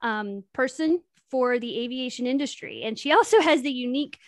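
A woman co-hosting a podcast, chatty and self-deprecating, with a light voice she calls high, talking close to a big new microphone. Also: animated, normal speed, dry recording. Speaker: podcast co-host. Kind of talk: casual chat and guest introduction. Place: home studio in North Texas. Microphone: new large close microphone.

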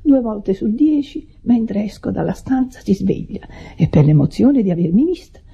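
Anxious, very husky smothering caregiver. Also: medium pitch, very animated, moderate pace, full character voice. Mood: anxious, hushed. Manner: fretful, hushed, theatrically solicitous. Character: anxious, smothering, pious woman nursing an invalid. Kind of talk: radio drama acting. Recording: dull lo-fi radio recording.

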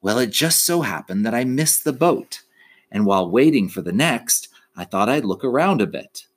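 A man voicing an old female alley cat, polite and well-traveled, reminiscing in a courteous storybook manner. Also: low voice.

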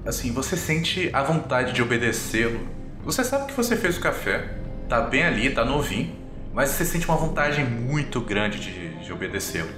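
A man's voice: mid-range voice, sing-song, moderate pace. A man with a Brazilian accent improvising tabletop RPG narration as game master, setting scenes piece by piece. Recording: roomy echo, music underneath. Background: background music.

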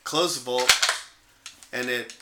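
A man's voice: mid-range voice, fairly even intonation, takes it slowly, pushed and bright, reverberant, a little hiss or hum. A man co-hosting a podcast in casual chat, speaking in an informal, blunt way with the odd mild swear. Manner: informal, blunt, mildly profane. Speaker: podcast co-host. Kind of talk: casual chat about guns.

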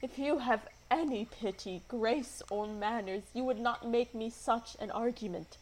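A woman's voice: a little high, a little slow, low-quality recording. A woman playing an aggrieved, lovelorn young woman in a Shakespeare radio play, declaiming theatrically in verse. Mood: bitter, accusing.

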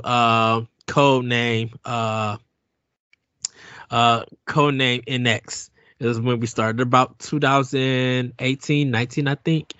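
A man's voice: medium pitch, fairly bright tone, no room sound, muffled recording. A man in his early forties chatting casually as a podcast host.

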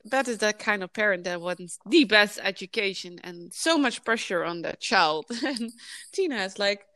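A woman's voice: medium pitch, animated, normal speed, sharp tone. Chatty woman with a Dutch accent. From the Netherlands. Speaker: podcast host and childcare worker. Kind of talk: casual chat about a TV show.

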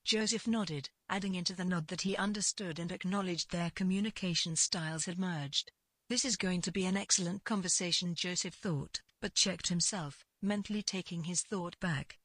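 A woman reads literary fiction as its narrator. Her voice is a little low and husky, with a dry, close sound. The delivery is measured and scripted. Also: fairly bright tone, dry recording.

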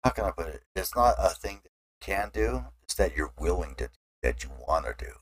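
Very deep gravelly man chiming in. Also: very animated, a little fast. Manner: agreeable, chiming in with short replies. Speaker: podcast co-host. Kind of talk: casual chat.

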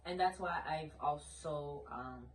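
A blunt, outspoken woman in her late twenties from Canada, speaking with a fairly low voice in a casual podcast chat. She drives her points home with plenty of "like"s. The sound is roomy, with the mic set back from her. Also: slow pace, distant mic, slight background noise. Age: late 20s. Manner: emphatic, blunt, outspoken, with lots of filler "like". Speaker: podcast co-host. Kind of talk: casual conversational discussion.

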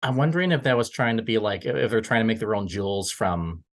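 A man's voice: lowish voice, lively intonation, fast talker. A man discussing films casually, circling back over his phrases as he thinks aloud. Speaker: podcast panelist.

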